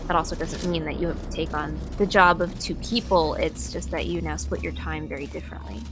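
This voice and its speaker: A woman in a casual interview chat while playing a video game, thoughtful and halting with playful asides. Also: low-pitched, fairly even intonation. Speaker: interview guest, voice actor. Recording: music playing behind the voice.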